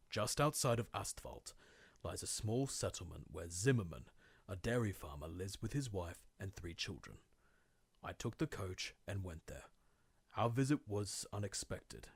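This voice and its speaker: Tormented, theatrical man, low and husky. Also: no room sound, full character voice. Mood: fearful, tense. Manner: theatrical, formal old-fashioned diction, intense. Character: tormented guilt-ridden man, diarist turned torturer, descending into madness. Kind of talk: dramatic monologue, video game horror fandub.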